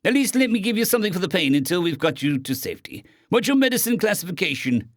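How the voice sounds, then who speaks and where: A man's voice: sits high, sing-song, fast, dry recording, studio quality, voice pushed up. A man voicing a concerned, earnest alien rescue medic in a sci-fi story, solicitous and questioning. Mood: insistent concern.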